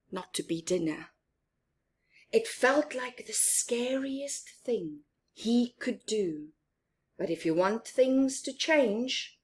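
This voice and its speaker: A woman reading a picture book aloud to children in an expressive, theatrical way, with emphatic words and hushed, whispery phrases. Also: medium pitch, breathy edge. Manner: asks young listeners questions and comments on pictures. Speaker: storyteller for children.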